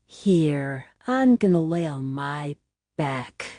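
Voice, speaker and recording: A woman playing a gentle, doting mother who soothes her small baby in a soft, tender, cooing ASMR bedtime roleplay. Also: low voice, lively intonation, slow, dry recording. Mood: gentle, playful. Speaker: ASMR audio roleplay performer.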